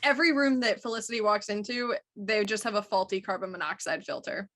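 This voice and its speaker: A woman's voice: mid-range voice, fairly quick, a bit bright. A woman chatting casually on a panel of actors, offering wry asides.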